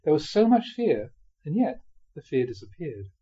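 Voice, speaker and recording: A man reading a spiritual memoir aloud expressively, in a measured way with dramatic pauses. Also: on the high side, very animated, warm tone, slightly rough, muffled recording. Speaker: reader of Buddhist teachings.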